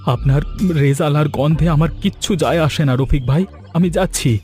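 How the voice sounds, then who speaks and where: A man acts a hardworking, poor farmer in a cartoon folk tale, proud yet downhearted. His male voice sits a little high and sounds plaintive and earnest, with music behind it. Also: moderate pace, darkish tone, close to an everyday voice. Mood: wounded pride.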